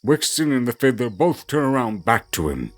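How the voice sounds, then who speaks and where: A man's voice: mid-range voice, very animated, moderate pace, faint music behind. A man narrating a fantasy audio drama in a theatrical, scripted storytelling style.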